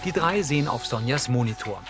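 A male narrator gives neutral audio description for a TV show. His mid-range voice is measured and factual, reading short scripted sentences over prominent music. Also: medium pitch, lively intonation.

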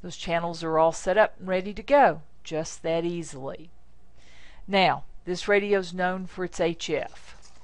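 Low-pitched American woman giving a tutorial. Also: no echo. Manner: informal, instructional, short deliberate phrases. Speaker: software demonstrator. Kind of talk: step-by-step screencast tutorial narration.

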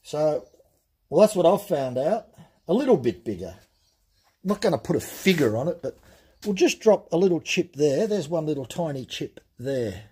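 A man explaining things step by step in a relaxed, plain-spoken way during a workshop demonstration. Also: fairly high pitch, normal speed, slightly rough. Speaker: chainsaw channel host. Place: workshop bench.

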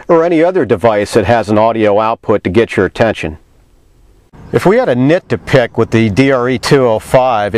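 A man's voice: mid-range voice, darkish tone, dry recording. A man, reading a scripted product review as a magazine reviewer.

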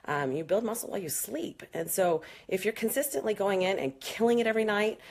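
A woman's voice: mid-range voice, brisk, darkish tone, husky. A woman in her thirties, a fitness trainer, answering questions in a frank, chatty live stream with many fillers, filmed on a phone at arm's length in a small room. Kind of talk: casual live Q&A. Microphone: phone camera at arm's length.

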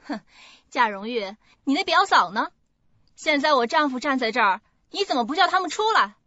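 Woman acting sharp, scornful young wife. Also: high voice, big pitch swings, normal speed, dry recording, full character voice. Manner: sharp, scolding. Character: haughty, scornful young wife accusing a rival. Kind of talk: radio drama acting. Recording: dull lo-fi audio, faint music.

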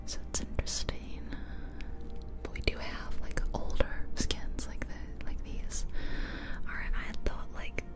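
A woman whispering casual ASMR game commentary close to the microphone, unhurriedly thinking aloud. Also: measured pace. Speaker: ASMR video creator. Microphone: close ear-to-ear ASMR microphone.

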